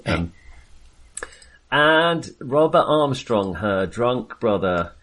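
A man's voice: mid-range voice, very animated, extremely slow, fairly bright tone, poor audio. A man chatting casually and enthusiastically about films as a podcast co-host, rambling and hesitating as he goes. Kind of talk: casual, chatty film discussion.

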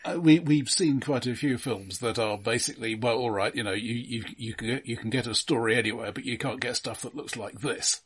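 A middle-aged British man co-hosting a casual film discussion in a measured, analytical way with careful qualifications. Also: mid-range voice, breathy edge. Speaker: film discussion podcast co-host.

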